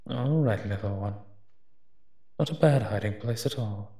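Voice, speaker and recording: A man playing a wry, teasing monster who partners a human detective in a roleplay audio drama, speaking with hums and pauses. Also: fairly low pitch, a little mellow, slightly rough, no echo, clean studio sound, full character voice.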